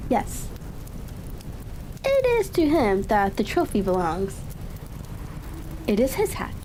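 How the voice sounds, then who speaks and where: A woman with a fairly high, very mellow voice reads a story in a calm ASMR style. Her phrasing is unhurried and a little halting. A faint fireplace-like crackle runs behind the voice. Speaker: audiobook reader. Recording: steady rain-like crackling ambience behind voice. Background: fireplace crackle and ambient noise.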